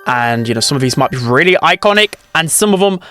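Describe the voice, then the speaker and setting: A man with a fairly high voice hosts a gaming video. He gives casual, opinionated commentary, with music playing behind him. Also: lively intonation, fast talker, sharp tone.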